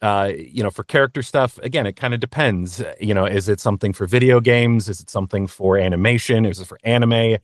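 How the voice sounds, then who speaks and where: A man's voice: lowish voice, fairly quick, few pauses, no room sound. Male interview guest, conversational and practical, explains things plainly, close dry recording. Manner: practical, explanatory advice-giving.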